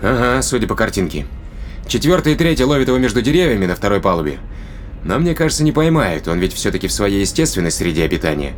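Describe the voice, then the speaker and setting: An actor playing a calm, practical spaceship captain with a wry, casual manner in a science fiction audio drama. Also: male voice, fairly low pitch, quick pace, slight background noise. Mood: calm, reassuring.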